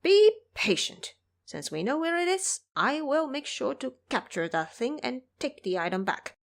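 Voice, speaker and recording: A brash monkey disciple for a fantasy audio drama, acted out by a woman. The voice sits high and swings widely in pitch. It is swaggering, emphatic and commanding, with a protective streak. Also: very animated, unhurried, heavily acted. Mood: reassuring.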